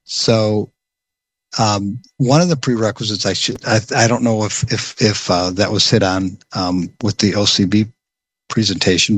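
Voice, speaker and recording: A man presenting a program at a meeting, speaking in a halting way with many pauses and restarts. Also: deep voice, a bit bright, breathy edge, no room sound, poor audio. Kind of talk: informal briefing.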